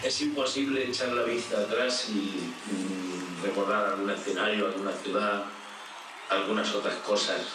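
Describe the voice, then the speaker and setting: Low male voice, very echoey, reminiscing. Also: steady tone, normal speed. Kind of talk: reminiscing documentary testimony. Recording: very echoey, distant, music behind.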